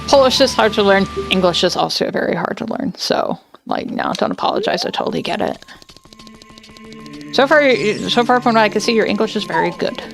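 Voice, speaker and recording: A woman's voice: mid-range voice, huge pitch swings, a little fast, gravelly, music in the background. A woman streaming a video game from home, chatting casually and thinking aloud with lots of fillers. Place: home, indoors.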